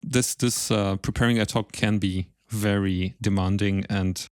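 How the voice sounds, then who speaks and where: A man with a fairly low voice hosts a podcast interview, recorded dry with no room sound. He phrases his questions carefully and haltingly, in a casual conversational style. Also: steady tone, a little mellow, dry recording.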